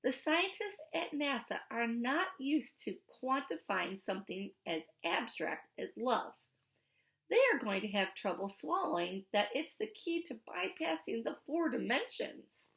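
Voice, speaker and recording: A woman voicing a gently concerned, practical scientist mother in a storybook, careful and earnest. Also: fairly high pitch, expressive, unhurried. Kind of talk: storybook character reading.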